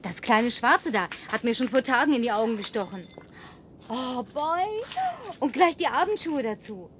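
A woman's voice: fairly high pitch, lively intonation, moderate pace, background noise, lightly acted. An actress dubbing a lonely young woman in a film who talks in a playful, coaxing way and sometimes drops to a whisper. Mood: teasing. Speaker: survivor in a film, dubbed.

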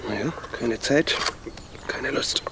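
An actor playing a guarded, defensive husband in a naturalistic radio drama, terse and matter-of-fact. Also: male voice, mid-range voice, takes it slowly, in a whisper, lightly acted.

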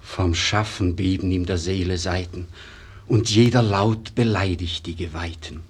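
A self-doubting painter's disciple in an old radio verse drama. The voice is deep and husky, the stage German formal, each line weighed slowly and gravely. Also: male voice, steady tone. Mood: hushed, watchful. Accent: standard stage German.

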